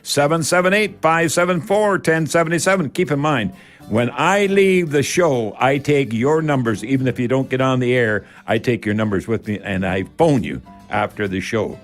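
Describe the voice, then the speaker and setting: An older man with a Canadian accent hosts a talk radio show about home improvement. His delivery is folksy and rambling, with emphatic phrasing aimed right at the listeners. His pitch sits in the middle range for a man. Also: expressive, moderate pace, faint music behind.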